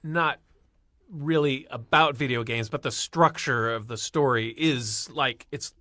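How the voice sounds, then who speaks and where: A man's voice: mid-range voice, unhurried, bright, forceful tone, no room sound, clean studio sound. A man chatting casually as a panelist on a podcast. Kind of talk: casual discussion.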